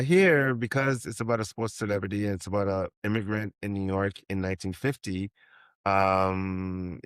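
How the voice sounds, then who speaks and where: Man, 50s, from Haiti, novelist guest; low, rambling delivery full of restarts, dry booth-like sound. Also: clear, resonant tone, dry recording. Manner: rambling, many restarts and ums. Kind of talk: interview conversation.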